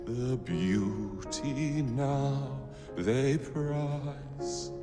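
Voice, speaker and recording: Grand male game villain: cold, theatrical, slow and sweeping, hall reverb, music behind. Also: mid-range voice, steady tone. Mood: wistful, singing. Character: cold, imperious male villain, Templar leader and businessman. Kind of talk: dramatic video game acting.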